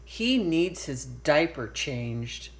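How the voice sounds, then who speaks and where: A woman voicing a snide young girl mouse cousin in a storybook, with a disdainful, deliberate manner. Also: low voice, sing-song, pitched below the performer's own voice, full character voice. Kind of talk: read-aloud storybook.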